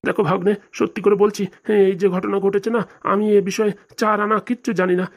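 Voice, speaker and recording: Fast-talking, pleading old cartoon uncle played by a woman. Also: mid-range voice, little pitch movement, gravelly. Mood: apologetic, pleading. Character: elderly, sorrowful, apologetic uncle begging his nephew's forgiveness. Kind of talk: comic cartoon dialogue.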